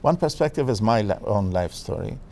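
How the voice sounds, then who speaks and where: Afghan man, gravelly low voice. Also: expressive, fairly quick, a little mellow, slight background noise. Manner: hesitant, many fillers and restarts. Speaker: author and guest expert. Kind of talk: TV interview.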